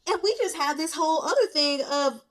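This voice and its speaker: A woman hosting a reading channel, talking through her notes in a casual, candid, colloquial and animated way. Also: high-pitched, brisk. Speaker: host of a book reading channel. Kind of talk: casual chatty book commentary. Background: rain.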